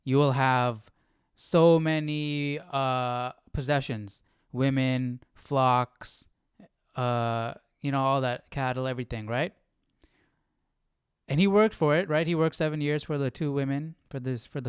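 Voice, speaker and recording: A man's voice: medium pitch, steady tone, unhurried, smooth, no room sound, studio quality. A man hosting a Bible study, casually commenting and thinking aloud with frequent questions and check-in tags. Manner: questioning, often adds "right?".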